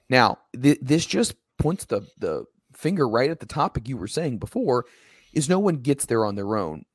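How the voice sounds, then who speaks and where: A man co-hosting a casual wrestling podcast, a little hoarse, talking emphatically and ranting at times. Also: fairly low pitch, dry recording, clean studio sound. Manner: emphatic, ranting, animated when quoting others. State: slightly hoarse.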